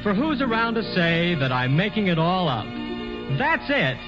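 A wry young writer. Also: male voice, high-pitched, sing-song, normal speed, over music. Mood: musing. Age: 20s. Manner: wry, musing to himself. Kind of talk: 1940s radio acting, narrating and singing. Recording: muffled 1940s radio with orchestra behind.

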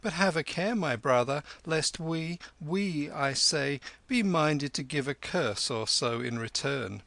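A man voicing a cocky, quick-witted boy in a storybook, with a lofty, taunting manner. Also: on the high side, expressive, creaky, a bit bright, dry recording, voice pushed up, full character voice. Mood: calm taunting. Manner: taunting, loftily self-assured. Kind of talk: storybook character voice.

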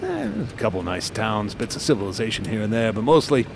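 A wisecracking old-time trooper detective who laughs through his own tall tales, his voice lively and springing up and down. A car engine rumbles steadily behind him in this radio play. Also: quick pace, gravelly, slight background noise. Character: boastful wisecracking male state trooper detective. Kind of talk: radio drama acting.

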